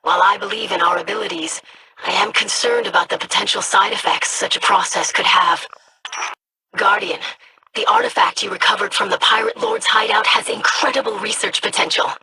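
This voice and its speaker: A woman voicing a measured, studious young alien scholar in a video game. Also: medium pitch, animated, creaky, noisy background. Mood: thoughtful. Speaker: researcher.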